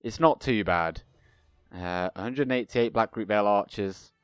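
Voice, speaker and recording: Young male game host, stuffy with a cold, smooth voice, chatty and rambling. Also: on the low side, normal speed, dry recording. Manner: chatty, rambling, self-correcting. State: congested with a cold. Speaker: gaming video host. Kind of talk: casual strategy game commentary.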